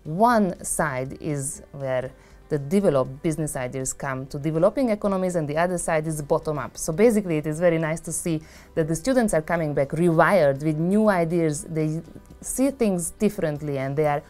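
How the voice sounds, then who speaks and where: A woman in her thirties with a notably low voice speaks earnestly about her business school. Faint music sits under her words. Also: moderate pace, darkish tone. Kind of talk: promotional interview. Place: indoor lounge.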